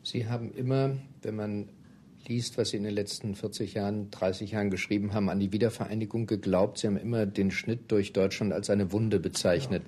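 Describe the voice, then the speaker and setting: Low, husky male interviewer. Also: steady tone, a little mellow. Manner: measured, polite, formal address. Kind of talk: formal, prepared interview questions.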